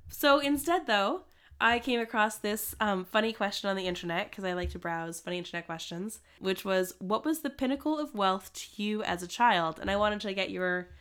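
Woman, stuffy and hoarse from a cold, podcast co-host, rambling, smooth clear tone under the congestion. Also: medium pitch, normal speed, fairly bright tone. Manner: rambling, many fillers like "like" and "um". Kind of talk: casual chat.

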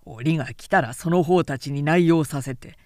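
Woman acting a scornful, commanding warlord, lowered voice. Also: normal speed, warm tone, no echo, studio quality, lightly acted. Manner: commanding, mocking.

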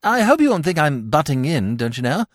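A man voicing an excitable, dithering young upper-class English gentleman in an audiobook, flustered and rambling in old-fashioned slang. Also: a little high, fairly quick, dry recording, studio quality, pitched above the performer's own voice. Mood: nervous, eager. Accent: British upper-class.

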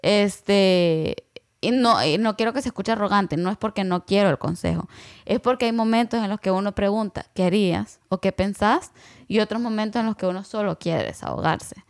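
A woman's voice: medium pitch, moderate pace. A young adult woman musing aloud in casual conversation, rambling with lots of fillers and slipping in English words.

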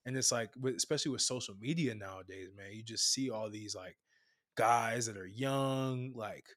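A man's voice: fairly low pitch, moderate pace, a bit bright. Emphatic man from Brooklyn, podcast guest. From Brooklyn, New York. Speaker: podcast guest, entrepreneur and coach. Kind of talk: casual conversational advice.

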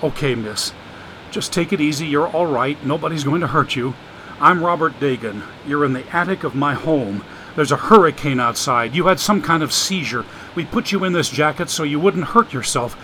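A gruff, exasperated man in a storm-wrecked mansion, short on patience, as a male narrator puts him on. The voice is fairly high and bright, with a forceful edge. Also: animated, normal speed, a little hiss or hum, poor audio. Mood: soothing. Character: irritable man in his own mansion, short-tempered.

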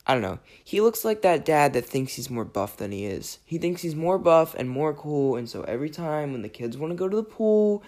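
Podcaster, raspy voice, under the weather, rambling, self-deprecating, exasperated jokes. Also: mid-range voice, sing-song, brisk, few pauses, a little mellow. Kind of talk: casual rambling film commentary.

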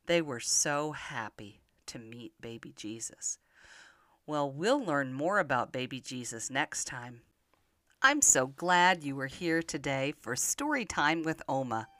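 An older woman telling Bible stories to young children in an expressive manner, often putting simple questions to the listener. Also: extremely low pitch, unhurried, dry recording, clean studio sound. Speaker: children's podcast storyteller.

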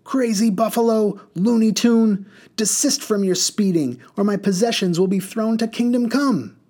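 A man putting on a yelling muddy woman passenger. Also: high-pitched, moderate pace, voice pushed up. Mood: angry. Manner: yelling, scolding.

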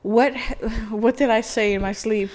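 Young woman with a nasal voice. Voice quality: high-pitched and nasal. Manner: fast, animated, quotes people in dialogue. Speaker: podcast co-host. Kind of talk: casual storytelling chat.

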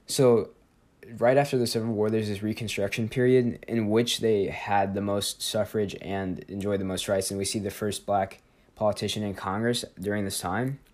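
A teenage boy with a lowish voice hosts a student podcast. He talks in a loose, unscripted way, stumbling over words, correcting himself and leaning on filler words as he goes. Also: fairly even intonation, moderate pace, a little mellow. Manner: rambling, with many fillers and self-corrections. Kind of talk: casual spoken presentation and interview.

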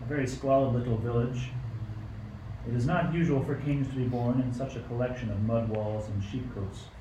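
A man's voice: medium pitch, fairly even intonation, moderate pace, close to an everyday voice. An amateur actor playing a stern, suspicious tyrant king in a radio play, with an imperious, declamatory delivery. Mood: measured, scheming. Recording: roomy, distant mic, faint noise.

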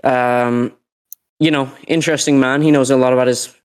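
A man's voice: medium pitch, fairly even intonation, normal speed, clean tone. A young man with a cold, telling a story in a casual podcast chat, rambling with many fillers and restarts. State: sick, sweating. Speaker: podcast co-host.

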